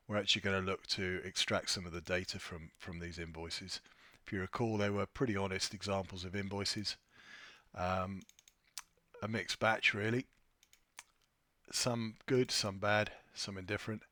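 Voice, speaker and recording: Bright-voiced man giving a software walkthrough. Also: on the low side, dry recording, studio quality. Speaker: software tutorial presenter. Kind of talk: informal screen-share walkthrough.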